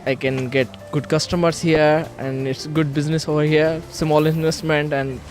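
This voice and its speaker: A man's voice: on the high side, flat delivery, normal speed, darkish tone, faint music behind. A young man from Pakistan who runs a small business, giving simple, upbeat answers.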